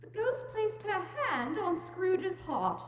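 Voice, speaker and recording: Very high-pitched woman narrator, echoey hall. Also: sing-song, slow. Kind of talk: scripted story narration, dramatic reading. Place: church, live staged reading. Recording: echoey church hall, faint noise.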